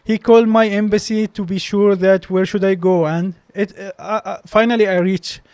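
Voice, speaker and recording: A man's voice: high-pitched, steady tone, moderate pace, a little mellow, clean tone, dry recording. A young man with a Palestinian accent whose first language is Arabic, a doctor telling stories as a podcast guest, hesitant with many restarts. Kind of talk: casual interview storytelling.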